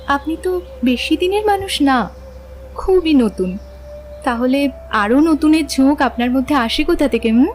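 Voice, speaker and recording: A woman playing a composed, curious young woman in an audio drama, polite and gently teasing. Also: sits high, expressive, a little slow, long pauses, slight background noise. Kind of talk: dramatised audiobook dialogue acting.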